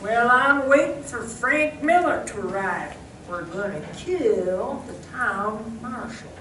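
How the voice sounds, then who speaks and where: A woman's voice: a little high, animated, takes it slowly. A woman playing a blunt cowboy outlaw in a comic old-time radio show. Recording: roomy, distant, very noisy stage recording.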